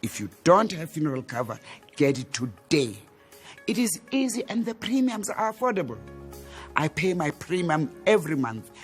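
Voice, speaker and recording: Woman, earnest advert testimonial, expressive pitch, soft music. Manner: earnest, pleading.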